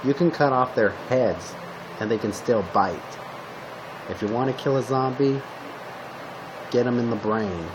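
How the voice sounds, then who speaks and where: Male, mid-range voice, calm survivor role in an improvised roleplay, unhurried short fragments, clear hum on a homemade recording. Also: medium pitch. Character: calm male zombie apocalypse survivor giving weapon tips. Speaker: roleplay video creator playing a zombie survivor. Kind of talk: casual improvised roleplay monologue to the listener.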